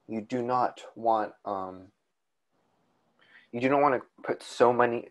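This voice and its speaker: Young male podcast co-host, calm soothing voice, rambling casual chat, mid-range pitch. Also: rough recording. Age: young adult. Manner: rambling, with many restarts and fillers.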